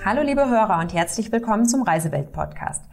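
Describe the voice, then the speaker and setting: Female interviewer for a podcast, average pitch, welcoming and clearly phrased, light music underneath. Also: lively intonation, fast talker, a little mellow. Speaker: podcast host.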